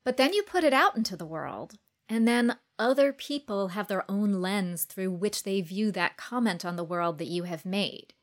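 A woman's voice: medium pitch, lively intonation, moderate pace. A woman guest-hosting a podcast, talking in a thoughtful, articulate, conversational way. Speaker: guest host, writer and actress.

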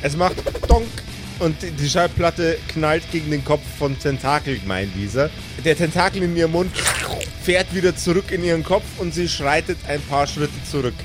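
Game master with higher-pitched male voice. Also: moderate pace. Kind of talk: improvised tabletop game narration. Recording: music behind the voice.

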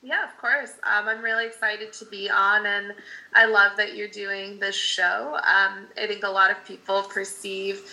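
Bright-voiced candid woman podcast guest. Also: medium pitch, level tone, unhurried, poor audio. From Netherlands. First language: Dutch. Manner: candid, chatty, laughs often. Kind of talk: casual podcast interview.

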